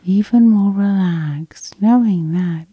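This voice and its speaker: Soft, breathy woman guiding sleep. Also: mid-range voice, no echo. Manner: soft, soothing, slow breathy half-whisper. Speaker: hypnosis guide, host. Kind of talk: whispered sleep hypnosis, ASMR guided meditation.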